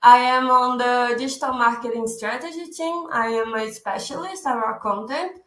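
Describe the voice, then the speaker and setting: A woman's voice: on the high side, normal speed, a bit bright. A Brazilian woman in her twenties with a Brazilian Portuguese accent, presenting a webinar with care and often searching for words. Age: 20s. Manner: careful, searching for words, often repeating herself. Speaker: content marketing specialist presenting a webinar. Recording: echoey room.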